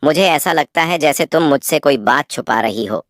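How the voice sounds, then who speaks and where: Woman as low-voiced dignified lion king. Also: normal speed, no room sound, studio quality, close to an everyday voice. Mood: concerned, kindly. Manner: stately, earnest. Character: dignified, caring male lion king of the jungle. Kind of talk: children's animal moral-story cartoon.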